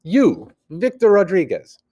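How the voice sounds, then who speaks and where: A man voicing a brisk male middle-school teacher in a storybook, with emphatic, projected classroom delivery. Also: high-pitched, wildly swooping pitch, warm tone, dull audio, pitched above the performer's own voice, heavily acted. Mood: brisk, commanding.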